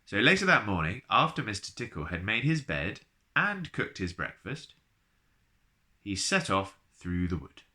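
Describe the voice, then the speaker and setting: A man reading a children's storybook aloud in an expressive, playful way, with chatty asides to the listener. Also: on the low side, unhurried. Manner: playful, addresses listeners directly.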